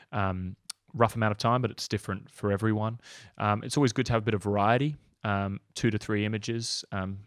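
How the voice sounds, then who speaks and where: Man, 30s, casual coach read, lowish voice, dry close sound, loose with filler words. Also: fairly bright tone, no echo. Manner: casual and upbeat, many ums. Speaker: acting coach. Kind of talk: online tutorial, screen walkthrough.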